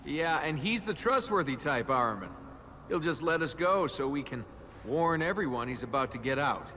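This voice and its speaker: A man's voice: a little high, expressive, moderate pace, pushed and bright. A man playing a wisecracking young adventurer hero in a video game, sarcastic and flippant. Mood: wry. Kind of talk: video game acting, casual banter. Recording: noisy game ambience behind.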